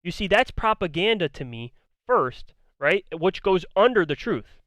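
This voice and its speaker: A man giving blunt, argumentative, profane commentary, repeating his points, his voice going hoarse. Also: high voice, big pitch swings, unhurried, a bit bright, no echo. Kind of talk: ranting commentary on a debate.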